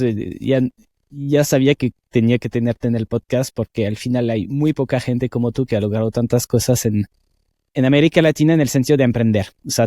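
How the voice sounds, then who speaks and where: A man hosts a podcast in a dry, close-sounding recording. His voice sits at an average pitch. He speaks enthusiastically and fills his pauses with hesitations. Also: dry recording. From France. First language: French. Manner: enthusiastic, warm praise with frequent hesitation fillers. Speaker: podcast host. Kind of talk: scripted intro, then casual interview chat.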